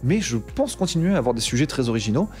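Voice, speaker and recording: A man with a Bordeaux French accent talks to camera quickly and animatedly. He is slangy and mildly exasperated, at an average pitch. Faint music plays underneath. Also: very animated, darkish tone. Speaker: tech YouTuber. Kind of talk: casual talk to camera.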